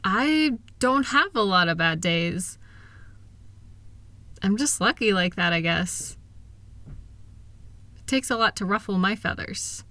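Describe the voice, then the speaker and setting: A woman playing a kind, capable wife who gently teases and reassures a partner in an intimate comfort audio roleplay. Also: mid-range voice, expressive, slow pace, long pauses, exaggerated voice. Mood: soothing, reassuring. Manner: reassuring, gentle, teasing, affectionate. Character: kind, upbeat, capable wife soothing her insecure partner.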